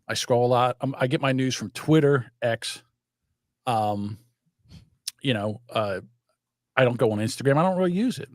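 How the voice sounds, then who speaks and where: A man's voice: medium pitch, normal speed, long pauses, dry recording, clean studio sound. An older man, chatting casually and profanely as a podcast co-host, rambling through stories. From South Jersey. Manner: rambling, profane, animated storytelling. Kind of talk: casual chat.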